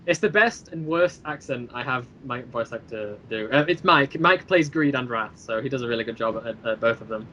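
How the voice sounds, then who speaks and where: A man in a casual podcast chat, explaining his own creative work in a rambling, halting way and repeating phrases as he goes. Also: medium pitch, sharp tone, muffled recording, slight background noise. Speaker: guest, creator of an audio drama series.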